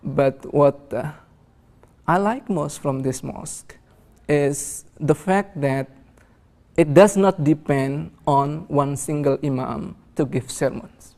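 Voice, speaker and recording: Man, gravelly fry, slightly high pitch, very slow, deliberate, halting, reflective interview. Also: a little mellow.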